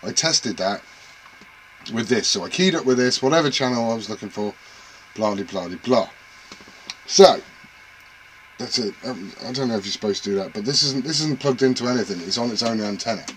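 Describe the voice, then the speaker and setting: A man's voice: mid-range voice, a little fast, long pauses, a bit bright, slight background noise, low-quality recording. A man rambling casually and self-deprecatingly to camera about his radio gear at home. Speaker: CB radio hobbyist vlogger.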